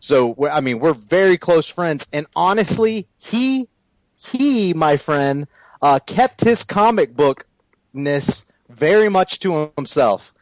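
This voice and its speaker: A man who grew up in Japan, a guest on a podcast, chatting in a friendly, halting way with many restarts. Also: fairly high pitch, expressive, no echo, rough recording. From Japan (grew up on US bases there). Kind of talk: casual podcast chat.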